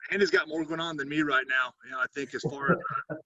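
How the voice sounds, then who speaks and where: A man's voice: a little high, fast talker, a crowd in the background. A man from Tennessee, a podcast guest, speaking in an easygoing, laid-back way. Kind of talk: casual chat. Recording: dull, dry, distant call audio.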